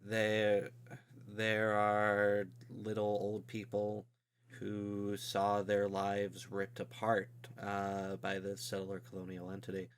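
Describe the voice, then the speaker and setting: A man's voice: lowish voice, monotone, slow, a little hiss or hum. A man hosting a podcast in casual discussion, talking loosely with frequent "like" and "um". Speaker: podcast host.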